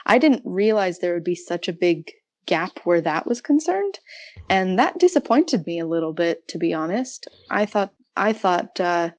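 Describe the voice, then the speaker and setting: A woman giving thoughtful, measured and articulate answers as an academic guest in a conversational interview. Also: lowish voice, very animated, no room sound. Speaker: podcast guest, academic researcher.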